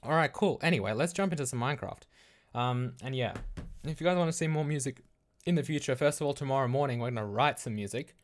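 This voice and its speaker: A man's voice: medium pitch, fast talker. An Australian man chatting casually as a livestream host, rambling loosely and thinking aloud. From Melbourne, Australia.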